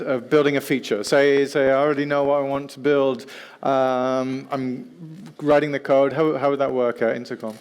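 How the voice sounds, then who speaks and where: A man's voice: medium pitch, smooth. A man moderating a panel discussion, putting unscripted questions to the speakers.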